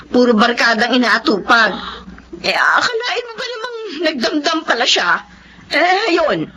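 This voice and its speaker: An actress playing a flustered, evasive woman friend in a Filipino radio drama, hesitant and excitable. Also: high-pitched, a little slow, a crowd in the background, full character voice. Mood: nervous, defensive.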